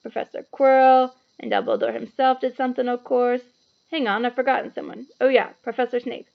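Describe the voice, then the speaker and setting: A woman's voice: a little high, steady tone, fairly quick, creaky, highs cut off. A woman voicing a burly, friendly male gamekeeper in a children's storybook, with folksy, clipped informal speech. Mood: obliging.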